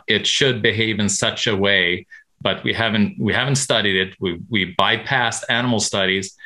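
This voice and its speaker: A man from Sweden, a medical professional answering interview questions haltingly, with many restarts. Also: lowish voice, bright, forceful tone, low-quality recording.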